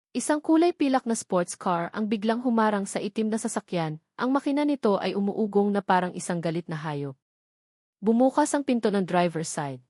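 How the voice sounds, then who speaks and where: A woman's voice: medium pitch, darkish tone, no room sound. Woman narrating a dramatic story, dry sound.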